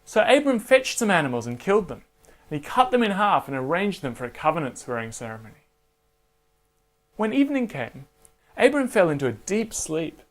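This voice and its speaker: A man hosting and narrating a scripted Bible story for children in an expressive manner, addressing listeners directly. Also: a little high, brisk. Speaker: storyteller and host.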